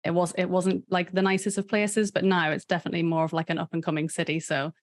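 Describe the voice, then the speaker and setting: A woman's voice: fairly low pitch, flat delivery, fast talker, dry recording. A young woman with a Northern Irish accent, co-hosting a casual podcast, chatty with many "like" fillers. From England and Northern Ireland. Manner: chatty, laughs often, "like" fillers. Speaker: podcast co-host.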